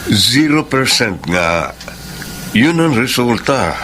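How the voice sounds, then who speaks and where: A man playing a grave, self-assured doctor in a Tagalog radio melodrama, delivering results in a deliberate, suspenseful way. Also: medium pitch, big pitch swings, pushed and bright, a little hiss or hum, heavily acted. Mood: firm.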